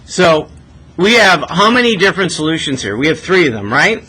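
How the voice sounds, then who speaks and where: A man's voice: on the high side, sing-song, a little fast, pushed and bright, breathy edge, rough recording. A man teaching math step by step in a conversational way, asking checking questions as he goes. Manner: conversational, often tags questions with "right?".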